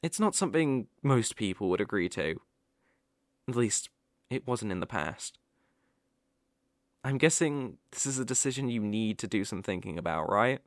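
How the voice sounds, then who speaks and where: A British man playing a sly, possessive young male kitsune fox spirit in an ASMR roleplay, soft-spoken and coaxing with playful hesitations. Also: lowish voice, lively intonation, moderate pace, long pauses, dry recording, clean studio sound, close to an everyday voice. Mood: coaxing, explanatory. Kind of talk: ASMR roleplay, acted directly to the listener. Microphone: close microphone, dry.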